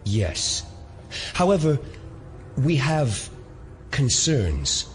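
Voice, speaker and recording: Menacing secret-society chief for audio drama. Unhurried, deliberate delivery with expressive, wide-ranging pitch. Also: male voice, soft background music. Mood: cold, commanding. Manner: measured, deliberate, coldly courteous. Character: menacing male elder leading a secret conspiracy.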